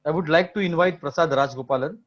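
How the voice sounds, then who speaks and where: Male host, moderately high pitch, online talk format, lo-fi sound. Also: fast. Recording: dull lo-fi online call audio.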